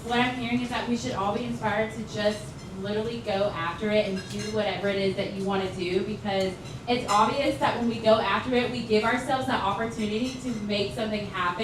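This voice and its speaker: A woman's voice: medium pitch, steady tone, brisk. A woman hosting a livestream event, upbeat and effusive as she thanks and introduces speakers. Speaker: event host. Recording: echoey, distant, noisy livestream audio.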